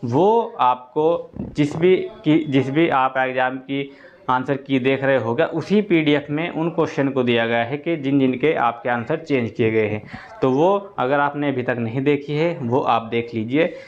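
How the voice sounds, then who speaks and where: A man's voice: mid-range voice, measured pace. A man gives an informal explanatory update to students, going step by step and repeating key points. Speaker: exam-update presenter for students. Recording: dull lo-fi audio.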